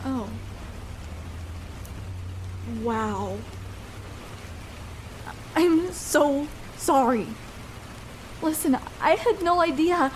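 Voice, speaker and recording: A very high, slow young female voice over clearly audible rain noise. A woman plays a shy, vulnerable first-time escort in an intimate ASMR roleplay. She is tender and hesitant, feeling her way through each phrase. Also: animated, heavily acted. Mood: remorseful, comforting. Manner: hesitant, halting, soft-hearted. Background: rain falling.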